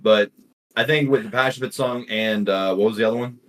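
A man co-hosting a casual music podcast, easygoing and thinking out loud. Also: lowish voice, brisk. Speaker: podcast co-host. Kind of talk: casual chat.

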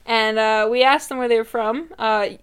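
Teasing young woman, slightly high voice. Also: fairly even intonation, normal speed, fairly bright tone, a little hiss or hum. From Canada. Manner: dry, teasing banter. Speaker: podcast co-host. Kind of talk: casual chat.

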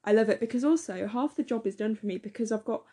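A teenage girl, a bit hoarse, chatting enthusiastically as podcast co-host with lots of fillers. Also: fairly high pitch, quick pace, mellow. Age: teens. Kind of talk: casual podcast chat.

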